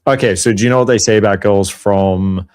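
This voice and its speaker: A man's voice: fairly low pitch, steady tone, a little fast, a little mellow, clean tone, dry recording, studio quality. A man co-hosting a casual chat, blunt and mocking, with many fillers like "like" and "um".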